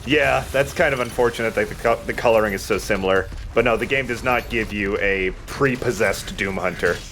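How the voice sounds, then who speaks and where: A man's voice: lowish voice, brisk, fairly bright tone, slight background noise. A man giving casual, joking commentary as he plays and hosts a let's play. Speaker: let's play host and player. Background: video game music and combat sounds.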